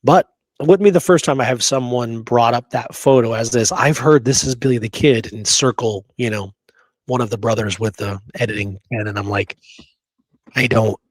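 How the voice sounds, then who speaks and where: A man's voice: medium pitch, moderate pace, no echo, low-quality recording. A man co-hosting a history discussion show, chatting casually and using informal slang. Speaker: podcast co-host.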